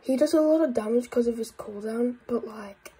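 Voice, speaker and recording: A woman with a blocked nose and a croaky voice, giving excitable gameplay commentary with frequent whispered asides. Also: on the high side, normal speed, slight background noise. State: congested, croaky voice. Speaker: gaming YouTuber.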